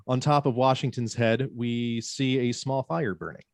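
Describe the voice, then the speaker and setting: A man's voice: mid-range voice, steady tone, normal speed, dry recording, studio quality. A man narrating scripted stage directions during a table read.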